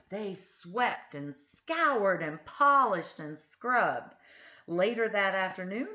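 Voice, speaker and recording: A woman reading a picture book aloud to children in an expressive, emphatic storytelling style. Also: mid-range voice, muffled recording. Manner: animated, deliberate, emphatic phrasing.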